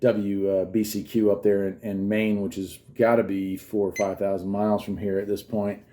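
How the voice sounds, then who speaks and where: A man's voice: fairly low pitch, little pitch movement, normal speed, dark tone. A man casually hosting a gadget demonstration on video, rambling along with enthusiasm. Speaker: YouTube host. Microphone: phone camera held by another person. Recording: faint background noise.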